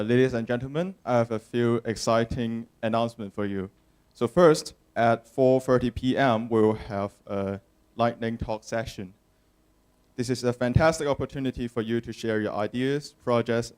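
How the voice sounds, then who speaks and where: A man's voice: medium pitch, fairly even intonation, moderate pace, darkish tone, clean tone. A man giving upbeat, scripted announcements to a conference audience. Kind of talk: event announcements. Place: conference hall.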